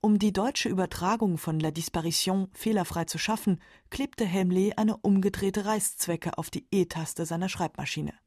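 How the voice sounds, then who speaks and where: A woman's voice: medium pitch, normal speed, hardly any pauses, darkish tone, no room sound. A woman reading scripted documentary narration in a studio. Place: dry studio.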